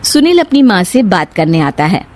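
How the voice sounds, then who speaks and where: A woman's voice: mid-range voice, very animated, normal speed, a little mellow. A woman narrating a family story in a plain storytelling way.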